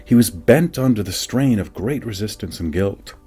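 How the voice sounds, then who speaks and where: A man reading a scripted spiritual meditation teaching in a measured, deliberate way, with dramatic pauses. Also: on the low side, animated, dark tone, husky. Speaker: reader of a spiritual book. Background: faint music.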